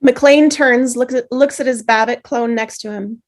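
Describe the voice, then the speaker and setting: A woman narrating the stage directions in a table read, with steady, measured script reading. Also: fairly high pitch. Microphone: video call.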